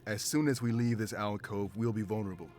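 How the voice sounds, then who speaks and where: A man's voice: fairly low pitch, fairly even intonation, a little fast, loud music behind the voice, lightly acted. A man voicing a protective male rebel fighter in a fantasy visual novel game, blunt and teasing. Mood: blunt, wry.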